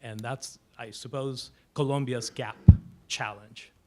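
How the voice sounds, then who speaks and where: A man's voice: medium pitch, fairly even intonation, slow pace. A man in the audience posing a long, academic question. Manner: academic, wordy. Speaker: audience member. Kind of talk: asking a question.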